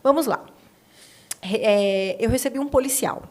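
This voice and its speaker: High-voiced Brazilian woman hosting a podcast. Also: normal speed, darkish tone. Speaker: podcast host; marriage therapist. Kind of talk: conversational podcast interview.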